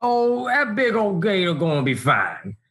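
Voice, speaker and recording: A man's voice: high-pitched, huge pitch swings, a little slow, fairly bright tone, heavily acted. A man playing a folksy old swamp grandpa in a comedic radio drama. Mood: folksy, unhurried.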